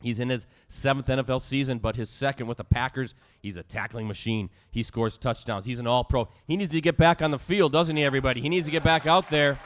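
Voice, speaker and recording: A man hosting a live sports talk show in a bar, hoarse and squeaky tonight, hyping the crowd with energy. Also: quick pace, few pauses, fairly bright tone. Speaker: show host.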